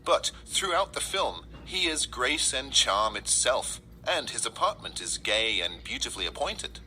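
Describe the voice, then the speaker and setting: A man reading a first-person essay aloud, with emphatic, deliberate phrasing. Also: mid-range voice, big pitch swings, sharp tone, faint music behind, rough recording. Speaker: reader reading an article aloud.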